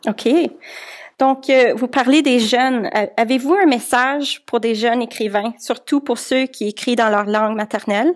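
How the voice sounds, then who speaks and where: A woman's voice: a little high, normal speed. A woman conducting a polite telephone interview in a courteous, formal and slightly hesitant manner.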